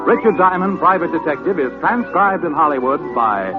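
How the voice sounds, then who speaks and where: A man's voice: fairly high pitch, animated, moderate pace. A man announcing credits in the formal style of an old-time radio show. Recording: tinny old radio recording, music behind.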